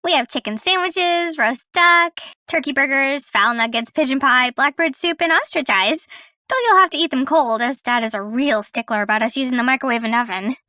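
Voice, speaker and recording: A woman's voice: high voice, moderate pace, sharp tone, no echo, clean studio sound. A woman voicing a perky thirteen-year-old cartoon babysitter with a teasing, matter-of-fact manner.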